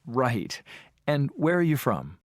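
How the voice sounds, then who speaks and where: An adult voice playing an interviewer in a scripted textbook dialogue, asking friendly questions with measured delivery. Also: mid-range voice, very animated, no echo, clean studio sound. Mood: plain.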